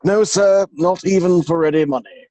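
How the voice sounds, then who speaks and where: A man playing a solemn, deferential butler in a stage comedy reading, formal and measured. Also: high voice, warm tone, dry recording, lightly acted.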